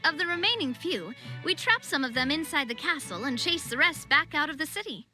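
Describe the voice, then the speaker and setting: A confident, friendly young female leader in a video game. The voice is high and very animated, with a crisp bright edge. Her manner is breezy and self-assured. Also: brisk, soft background music, heavily acted. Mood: serious, explaining.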